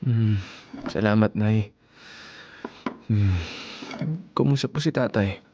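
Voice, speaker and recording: A man's voice: lowish voice, takes it slowly, muffled recording, background noise. An actor playing an earnest, defensive young working man with a Filipino accent in a radio drama. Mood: subdued, tired. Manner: earnest, protesting.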